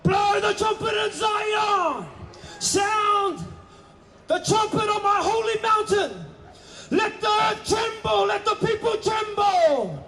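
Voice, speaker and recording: Man shouting a very high, slow proclamation. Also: expressive, faint music behind. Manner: declamatory, drawn-out shouting. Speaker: haka leader and speaker. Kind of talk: shouted chant and proclamation in Māori and English. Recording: echoey rally PA with crowd.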